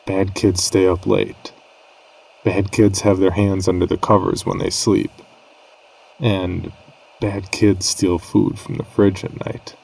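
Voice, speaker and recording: A man narrating a scripted horror story in a grave, measured manner with dramatic pauses. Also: sits low, gravelly, mellow, rough recording.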